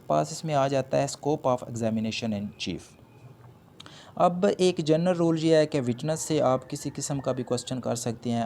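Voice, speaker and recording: A man's voice: medium pitch, warm tone. A man with a Pakistani accent giving a point-by-point law lecture, explaining didactically, repeating points in simpler words and mixing in English legal terms.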